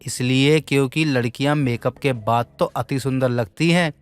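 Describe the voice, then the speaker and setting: A man's voice: mid-range voice, fairly even intonation, moderate pace, clear, resonant tone, faint music behind, natural voice. A man playing a cheeky young college student in a cartoon story, mocking and blustering in street slang. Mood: teasing. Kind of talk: Hindi animated moral story dialogue.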